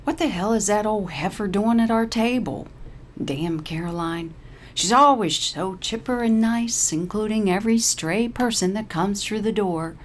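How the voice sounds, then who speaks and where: A woman's voice: medium pitch, unhurried, voice pushed up, lightly acted. A woman voicing a cranky, sarcastic, salty-tongued old lady in an audiobook story. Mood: irritated. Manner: surly, sarcastic, cursing.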